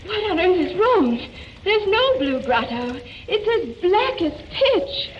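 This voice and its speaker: A woman's voice: very high voice, sing-song, takes it slowly, a little hiss or hum, exaggerated voice. A woman playing a bold, thrill-seeking young socialite in a 1940s radio drama. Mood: alarmed. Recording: muffled old radio recording.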